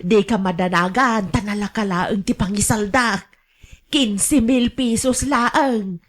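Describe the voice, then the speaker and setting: A woman's voice: mid-range voice, slow. A woman with a Filipino accent playing a brash, scolding older mother who gambles, in Ilocano radio drama style. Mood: coaxing.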